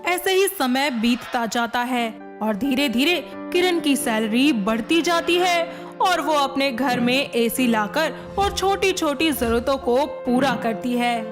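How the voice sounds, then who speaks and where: Female narrator, higher pitch, slow scripted storytelling, moral tale, background music.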